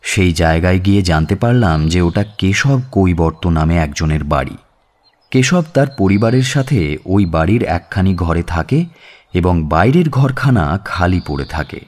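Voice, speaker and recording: A man's voice: low voice, animated, normal speed, a little mellow. A man, reading a detective story in the first person as scripted dramatic audiobook narration.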